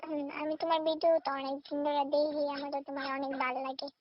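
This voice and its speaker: A woman's voice: high voice, level tone, fast talker, a little hiss or hum. A woman, calling on a muffled phone line and chatting in a pleading, insistent way. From Tripura, India. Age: 20s. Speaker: caller. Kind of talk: casual phone chat.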